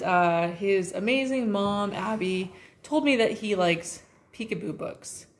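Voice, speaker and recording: A woman casually introducing a children's read-aloud as its host. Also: lowish voice.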